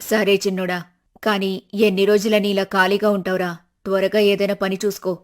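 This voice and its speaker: A woman voicing a worried, practical older wife and mother in an animated family story, plain-spoken and resigned. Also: mid-range voice, normal speed, lightly acted. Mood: matter-of-fact.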